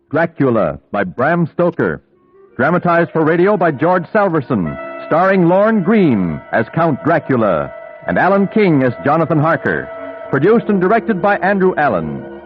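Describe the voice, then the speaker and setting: A man announcing a vintage radio drama in a formal, declamatory way. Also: a little high. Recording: dull vintage radio recording over music.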